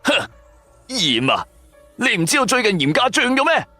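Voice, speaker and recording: A man's voice: very high-pitched, huge pitch swings, moderate pace, sharp tone, no room sound, soft background music, pitched above the performer's own voice, exaggerated voice. A man playing a cowardly, crude, grumbling friend of the hero in a whiny radio drama performance. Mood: huffy. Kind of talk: Cantonese radio drama acting.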